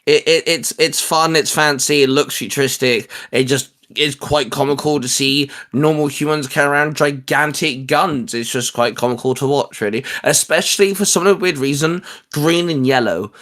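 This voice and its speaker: A man hosting a casual geek chat in a halting, repetitive way, often stumbling over the starts of words. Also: fairly high pitch, a bit bright. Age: adult, a parent. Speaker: host.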